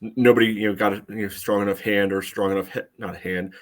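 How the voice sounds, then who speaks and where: A man with an American accent and a deep voice breaks down soccer in casual podcast talk. His delivery stays level with little rise or fall. He thinks aloud, padding his points with "you know" as he works through them. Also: level tone, fast. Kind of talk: casual sports analysis.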